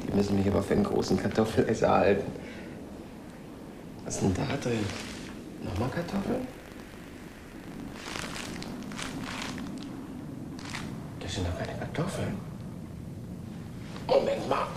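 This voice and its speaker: An actor playing an awkward, shy space traveller in a radio play, speaking haltingly and dryly, often as if to himself. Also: male voice, fairly low pitch, big pitch swings, gravelly, noisy background. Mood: puzzled. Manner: hesitant, halting, often breaking off and restarting. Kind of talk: naturalistic German radio play acting, partly as a spoken log.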